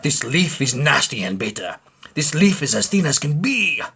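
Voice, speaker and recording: A man voicing a greedy, bullying rat bandit in a storybook, with scornful, bellowed, drawn-out commands. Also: mid-range voice, sing-song, bright, forceful tone, husky, exaggerated voice. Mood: menacing, scornful. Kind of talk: storybook character voice.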